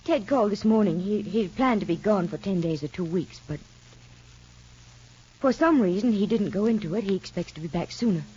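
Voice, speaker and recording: A nervous young mother who can't shake a nameless fear, her husky voice halting and soft. It comes through a dull, lo-fi old radio recording, the pitch sitting in an ordinary middle range. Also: lively intonation. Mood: worried. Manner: hesitant, worried, soft-spoken. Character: anxious young mother fearing for her son. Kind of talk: 1940s radio soap acting.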